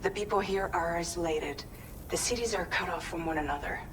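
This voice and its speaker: Actress as distant low-voiced woman giving briefing. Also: a little fast, natural voice. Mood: matter-of-fact. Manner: direct, plain-spoken. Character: businesslike woman crew leader briefing an operative. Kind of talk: game mission briefing. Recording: distant voice over rumbling noise.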